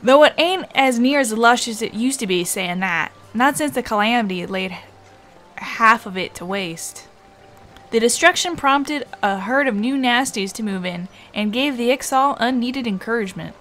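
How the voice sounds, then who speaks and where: A chatty travelling merchant from a fantasy game, acted out by a woman, speaks in a fairly high voice. The talk is folksy and worldly, in a put-on accent, and friendly throughout. Music plays behind. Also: animated, normal speed, a bit bright. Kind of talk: reading game dialogue aloud in character.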